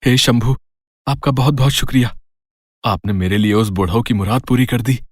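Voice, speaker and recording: A man's voice: mid-range voice, moderate pace, dry recording, studio quality, natural voice. Man acting a sly, greedy petty thief. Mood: gleeful wheedling. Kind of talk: Hindi moral story cartoon acting.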